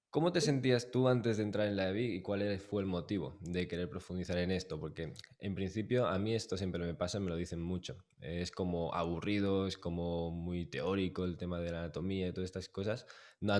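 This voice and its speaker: Low-voiced host explaining things. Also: male voice, darkish tone. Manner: friendly, explanatory, addresses listeners directly. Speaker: podcast host. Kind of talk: podcast interview.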